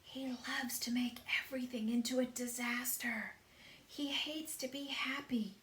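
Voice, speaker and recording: A woman's voice: high voice, sing-song, measured pace, reverberant, voice pushed up. A woman voicing a worried, kind female ladybug. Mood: whispering.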